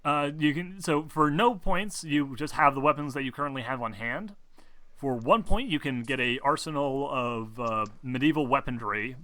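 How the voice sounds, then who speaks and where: A man's voice: medium pitch, pushed and bright, dry recording. A man acting as game master, explaining options casually with sweary, exasperated asides to his players.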